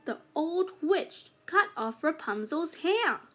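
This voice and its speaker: Slow, high-pitched woman reading a fairytale. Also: sing-song, fairly bright tone, soft background music. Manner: slow, deliberate phrasing for young learners. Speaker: children's storybook narrator. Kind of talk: scripted read-aloud fairytale narration.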